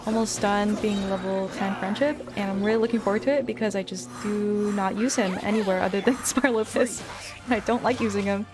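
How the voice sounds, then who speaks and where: A young adult woman chatting casually on a game livestream, rambling in an informal, expressive way. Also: medium pitch, a little fast. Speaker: game streamer. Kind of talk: casual livestream chat over gameplay. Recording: game music behind the voice.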